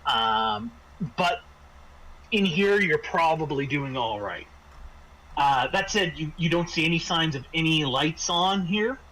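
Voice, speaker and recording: A man acting as game master, improvising scene descriptions in halting phrases with frequent repeats. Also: fairly high pitch, lively intonation, sharp tone, rough recording. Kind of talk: improvised narration of a tabletop game. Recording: faint background noise.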